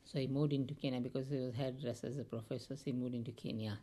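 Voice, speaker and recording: Halting, gravelly-voiced man in his fifties. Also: medium pitch, fairly even intonation, dark tone. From India. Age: 50s. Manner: halting, with many pauses and fillers. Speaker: oral history interviewee. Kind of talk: recalling his life story.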